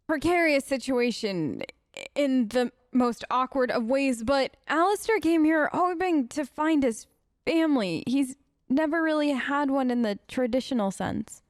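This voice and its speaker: High, close-sounding woman role-playing a game. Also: no room sound. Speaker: let's player role-playing her game character. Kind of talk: improvised in-character gaming commentary, conversational.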